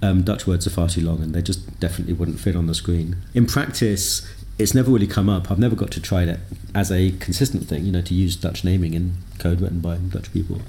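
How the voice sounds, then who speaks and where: Low gravelly man, thoughtful. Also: steady tone, quick pace, hardly any pauses, mellow, slight background noise. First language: English. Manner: thoughtful, self-deprecating, lots of ums. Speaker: podcast guest, software developer. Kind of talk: conversational interview.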